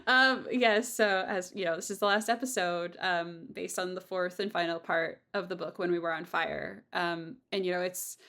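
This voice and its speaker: A woman's voice: fairly low pitch, steady tone, moderate pace, few pauses, bright, forceful tone. A woman hosting a podcast conversation in a casual, hesitant and rambling way with a giggly streak. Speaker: audio drama creator and host.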